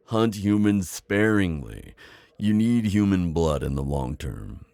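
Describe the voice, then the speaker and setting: Male actor as wise old-world vampire innkeeper, low deep voice, lively intonation, folksy mentor, intimate ASMR roleplay. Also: vocal fry, no room sound, heavily acted. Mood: instructive, cautioning. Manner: folksy, chatty, hesitant asides. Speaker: audio roleplay performer.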